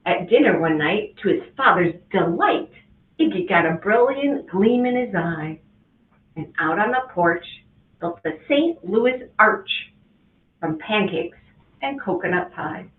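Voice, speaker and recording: A woman reading a rhyming picture book aloud to children as a guest reader, with an expressive, sing-song delivery. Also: medium pitch, measured pace. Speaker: guest reader, school administrator. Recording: tinny, echoey, from a distance.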